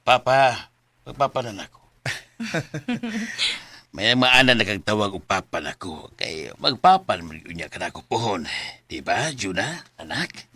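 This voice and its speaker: An actor playing a jovial middle-aged father in a Filipino radio drama, raspy and familiar in manner. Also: lowish voice, very animated, a little slow, long pauses, pushed and bright, no echo, full character voice. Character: jovial older father welcoming his daughter's boyfriend.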